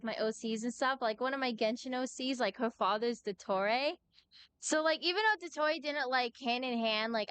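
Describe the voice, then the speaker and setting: A young woman with a slightly high voice chats on a game livestream. Her delivery is casual, rambling and informal, with game music in the background. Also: pushed and bright, clean tone. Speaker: game streamer. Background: video game music.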